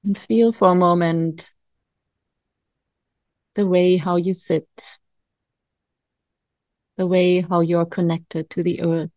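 A woman leading a guided meditation as a coach, giving calm, soothing instructions with long pauses. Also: lowish voice, no room sound.

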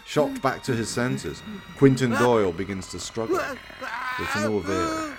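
A man's voice: medium pitch, wildly swooping pitch, normal speed. A man giving theatrical, wry scripted narration for a horror radio play. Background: music.

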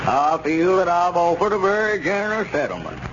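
Aggrieved old squire, highish lively voice, drawling, wheedling, vintage radio comedy. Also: male voice, brisk. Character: aggrieved, scheming old squire, pressing two friends for money. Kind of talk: 1930s radio comedy acting. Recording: noisy, muffled 1930s radio recording.

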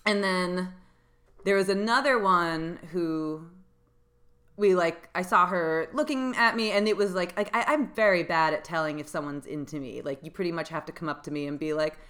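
A young woman with a deep voice in casual chat, rambling with many fillers and frequent laughs. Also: normal speed, fairly bright tone.

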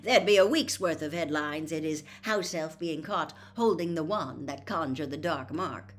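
Brief: exhausted grumbling father, low-key, read by a woman. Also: moderate pace, fairly bright tone, lightly acted. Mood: weary. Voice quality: weary, irritable. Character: tired kindly middle-aged man, grumbling.

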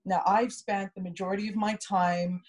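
A woman's voice: medium pitch, steady tone, moderate pace, darkish tone, slightly rough. A woman co-hosting a podcast, candidly and reflectively telling a personal story, often repeating words for emphasis. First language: English. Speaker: podcast co-host.

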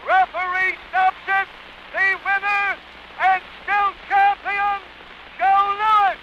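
A man making formal 1930s fight announcements as a ring announcer, declaiming in drawn-out phrases. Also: very high-pitched, flat delivery. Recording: muffled, noisy 1930s arena broadcast.

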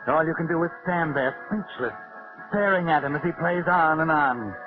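A man's voice: fairly high pitch, normal speed, natural voice. Man acting a sardonic narrator over music. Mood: knowing, taunting. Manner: insinuating, suspenseful. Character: sardonic, omniscient mystery narrator taunting the guilty. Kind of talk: 1940s radio drama narration. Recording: muffled old radio with loud music.

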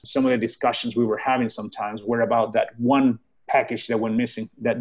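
A man from Chile, a guest on an interview show, explaining his career in a measured way with frequent fillers. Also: on the low side, a little mellow, lo-fi sound. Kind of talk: casual interview conversation.

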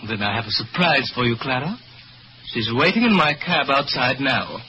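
An actor playing a suave, mocking aristocratic blackmailer in an old radio mystery. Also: male voice, medium pitch, big pitch swings, moderate pace, close to an everyday voice. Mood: smug, insinuating. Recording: dull, very noisy old radio recording.

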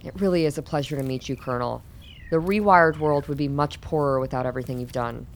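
A woman's voice: a bass voice, quick pace, slight background noise. A woman playing a formal, self-assured older doctor in a radio drama, composed and guarded. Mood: cordial.

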